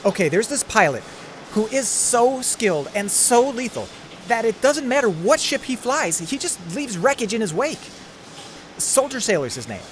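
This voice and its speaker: A man playing a friendly, excitable starship mechanic in an audio drama. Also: very high-pitched, normal speed, a little hiss or hum. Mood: amazed.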